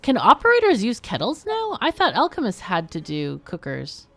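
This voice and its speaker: A woman's voice: medium pitch, wildly swooping pitch, normal speed, fairly bright tone, dry recording. A woman hosting a game livestream, chatting casually and thinking aloud as she plays. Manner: thinks aloud while playing. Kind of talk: casual gameplay chat.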